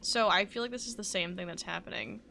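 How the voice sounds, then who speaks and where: A woman's voice: mid-range voice, fast, vocal fry, music in the background. A young woman streaming a game, chatting casually and reacting to the story. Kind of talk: casual gaming commentary.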